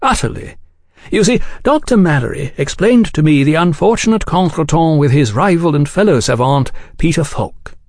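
An urbane gentleman journalist, acted out by a male reader, pitched well up and swooping with politeness. He is mild and smoothly cordial in an audiobook story, close and dry. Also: animated, moderate pace, dry recording. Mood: effusive, polite.

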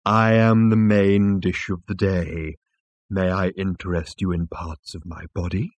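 A man voicing a large, fat, obliging talking cow in an audiobook, with a contented, deferential, lowing delivery. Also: level tone, a little slow, dry recording, lo-fi sound. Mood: contented, inviting. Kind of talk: audiobook comic science fiction character.

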